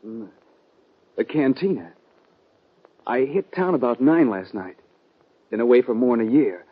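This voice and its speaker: Husky, dazed wounded outlaw. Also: male voice, medium pitch, expressive. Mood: halting, troubled. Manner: halting, faltering speech. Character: wounded, dazed young male outlaw, evasive and weary. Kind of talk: 1950s western radio drama acting. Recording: muffled old radio recording.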